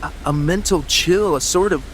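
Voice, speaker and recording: An actor playing an uneasy, superstitious yachting companion in an audiobook drama, earnest, hesitant and brooding. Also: male voice, fairly high pitch, moderate pace, a little hiss or hum, close to an everyday voice, low-quality recording. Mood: grave, haunted.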